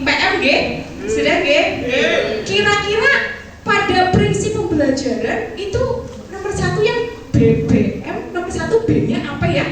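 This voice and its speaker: Young woman presenting in an echoey hall. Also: sits high. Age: 20s. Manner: chatty, quizzes the audience with questions. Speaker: English teacher and talk show guest speaker. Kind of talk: lively presentation to a teacher audience. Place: large hall with audience. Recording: echoey hall, distant mic, crowd noise.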